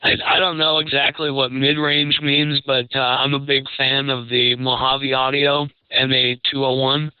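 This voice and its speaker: A man giving casual advice as a panelist, speaking in a measured, considered way. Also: little pitch movement, clean tone, no echo. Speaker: panelist, microphone expert. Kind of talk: casual advisory talk. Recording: dull low-fidelity radio-like sound.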